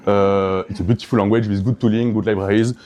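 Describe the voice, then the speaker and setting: A man's voice: lowish voice, steady tone, quick pace, a little mellow. A man from Belgium with a Belgian French accent, giving an enthusiastic, hesitant conference talk full of fillers and restarts. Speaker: conference speaker.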